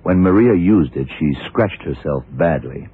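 An actor playing a shrewd, worldly, commanding male detective who explains deliberately, in the style of vintage radio drama. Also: low-pitched, animated, breathy edge, full character voice. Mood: patient, knowing. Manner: deliberate, hard-boiled explaining. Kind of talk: 1960s-70s radio drama acting. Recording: muffled, narrow-band vintage radio recording.

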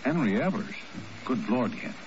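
An actor playing a brusque, exasperated intelligence chief in a 1950s radio spy drama. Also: male voice, mid-range voice, lively intonation, moderate pace, close to an everyday voice. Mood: exasperated, incredulous. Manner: brusque, emphatic. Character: gruff, exasperated male intelligence bureau chief. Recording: muffled, hissy old radio recording.

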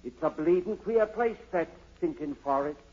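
Sneaky cockney hanger-on, taunting, raspy, old muffled radio drama sound. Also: male voice, on the high side, lively intonation, normal speed, a little mellow. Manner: taunting, slangy. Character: sly, needling cockney man, cowardly hanger-on. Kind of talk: 1940s radio drama acting. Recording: muffled, lo-fi 1940s radio recording.

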